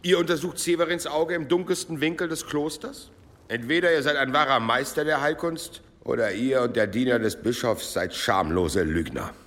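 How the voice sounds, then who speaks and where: Actor as sly monk in a reverberant hall. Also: male voice, medium pitch, moderate pace, a bit bright, natural voice. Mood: accusing. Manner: sardonic, insinuating. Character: sly, suspicious, needling monk. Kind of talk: German audio drama acting. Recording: long stone-hall reverb.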